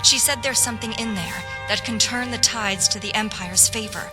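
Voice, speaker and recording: A woman's voice: mid-range voice, normal speed, lightly acted. A woman playing an earnest, patriotic young noble lady officer in a fantasy game, speaking formally and persuasively. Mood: explaining. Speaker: voice actor in a fantasy game quest mod. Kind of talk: scripted fantasy game dialogue. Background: background music.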